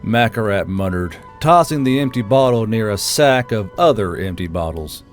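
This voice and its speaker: A man's voice: lowish voice, animated, moderate pace, faint music behind. A man narrating a scripted fantasy story.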